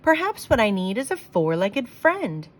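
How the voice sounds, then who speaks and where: A woman's voice: a little high, big pitch swings, unhurried. A woman voicing a lonely, kind-hearted lady dog owner from a storybook, speaking in an earnest, emotive way. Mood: wistful.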